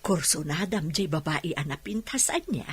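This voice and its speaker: A Filipino woman acting a teasing, nosy mother with a husky voice in a radio drama. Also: deep voice, expressive, full character voice. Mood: playful, prying. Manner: slow, playful teasing. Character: teasing, nosy, giggly mother matchmaking her bachelor son.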